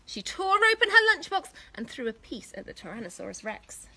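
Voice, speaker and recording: Female storyteller for young children, bright and higher-pitched, expressive, slight background noise. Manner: animated, deliberate, pausing to ask questions. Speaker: teacher reading a children's story.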